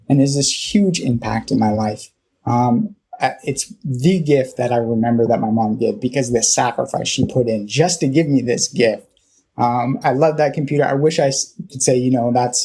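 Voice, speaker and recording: A man with a Californian accent tells his own story in a reflective, conversational way. His voice is mellow and noticeably husky at a middle pitch. He moves haltingly, often pausing partway through a phrase. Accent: Californian American. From Southern California, United States. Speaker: interview guest.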